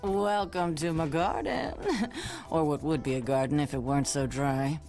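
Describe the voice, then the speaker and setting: A woman's voice: sits low, moderate pace, darkish tone, soft background music. A woman playing a catty, flirty hippo in a video game. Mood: inviting. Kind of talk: video game character acting.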